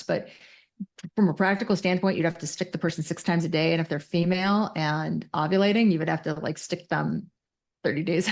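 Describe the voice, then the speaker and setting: A woman's voice: fairly low pitch, gravelly. A woman speaking as an expert interview guest, conversational but precise and technical, often correcting and qualifying what she says. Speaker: interview guest, mental health clinician.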